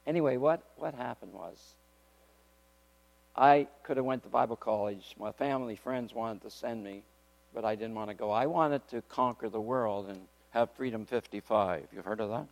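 An older man telling his faith story from the pulpit in a loud, lively way, laughing between points. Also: lowish voice, normal speed, creaky. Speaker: guest speaker giving testimony. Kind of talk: testimony sermon. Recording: long hall reverb.

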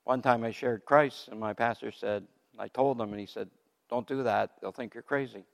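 Older man, loud lively pulpit storyteller, mid pitch, ringing hall sound. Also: moderate pace, vocal fry. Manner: loud, animated storytelling with laughs. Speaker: guest speaker giving testimony. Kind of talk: testimony sermon. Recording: long hall reverb.

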